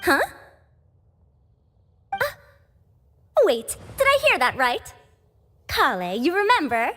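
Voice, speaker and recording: A woman's voice: high voice, wildly swooping pitch, takes it slowly, a bit bright, full character voice. A woman playing a proud, scolding veteran scholar in a video game, with a haughty, schoolmarmish and theatrical manner. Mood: eager, fussy. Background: music.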